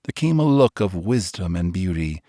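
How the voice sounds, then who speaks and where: A man narrating a children's storybook in a gentle, expressive way, slowing on the tender lines. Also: low-pitched, no echo, studio quality. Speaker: audiobook narrator.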